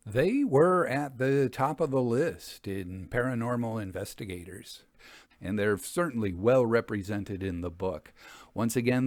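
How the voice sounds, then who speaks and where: Podcast host: man with a medium-pitched voice, measured explanatory delivery, close dry sound. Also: darkish tone, no echo. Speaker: host and narrator of a story podcast. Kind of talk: measured podcast outro, book promotion.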